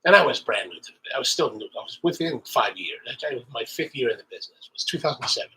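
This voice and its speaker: A man's voice: medium pitch, animated, quick pace, sharp tone, breathy edge, rough recording. A middle-aged man hosting a coffee channel, chatting casually while stuffy with the sniffles and sounding a bit nasal, rambling with many restarts. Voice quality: slightly nasal. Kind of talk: casual tasting talk to camera.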